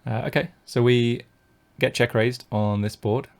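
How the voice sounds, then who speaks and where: A man's voice: mid-range voice, steady tone, measured pace, vocal fry. A British man, a poker coach, commenting casually on his own live-streamed tournament play and talking through each decision. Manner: thinks aloud with many fillers and restarts.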